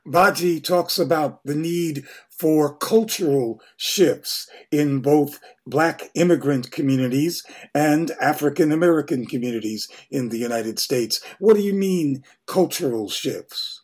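A man co-hosting a radio show, asking questions calmly and deliberately. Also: fairly high pitch. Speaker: radio co-host interviewer. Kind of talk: radio interview.

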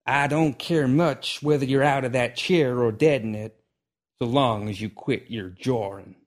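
A dry, menacing old gunslinger, as a male narrator puts him on for an audiobook western. The voice sits noticeably higher than the reader's own and stretches every word out in a slow, unhurried drawl. Folksy frontier grammar and a grumpy edge round it out. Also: animated. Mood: gruff drawl. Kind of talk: audiobook character voice.

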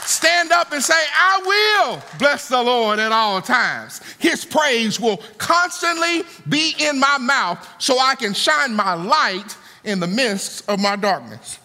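Male preacher, dynamic sermon delivery, bright and forceful, big reverberant church. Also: animated, slow, hardly any pauses. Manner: dynamic, swings from soaring to low conversational asides. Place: church auditorium. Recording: long hall reverb.

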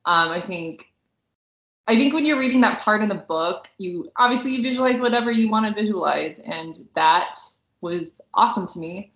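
A woman's voice: medium pitch, animated, moderate pace, fairly bright tone. A woman co-hosting a podcast in casual chat, gushing informally about what she enjoys. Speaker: podcast co-host and film critic. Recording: roomy, echoey, slightly dull audio.

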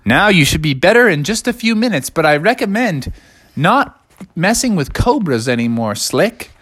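A storyteller voicing a brisk, no-nonsense male zookeeper in a children's story. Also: mid-range voice, big pitch swings, a bit bright, breathy edge, no echo, pitched above the performer's own voice. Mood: firm.

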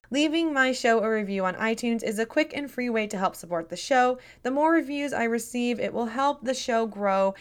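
A woman hosting a podcast, her voice a little raspy and tired, talking in a friendly, chatty and earnest way. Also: a little high. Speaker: podcast host. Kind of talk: scripted podcast narration.

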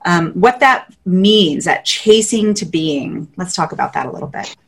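A woman hosting a conversational interview, speaking in a slightly low voice. She is enthusiastic and affirming as she leads into her questions. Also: animated, a little slow, gravelly, breathy edge. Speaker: podcast host.

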